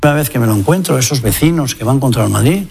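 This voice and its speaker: A man from talking casually in an animated way. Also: mid-range voice, quick pace, creaky, background noise.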